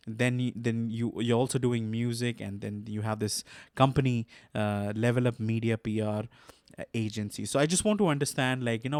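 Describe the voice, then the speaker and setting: A man's voice: mid-range voice, no room sound, clean studio sound. A man hosting a podcast, asking long, rambling questions full of "you know" and restarts. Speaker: podcast host. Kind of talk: interview questions.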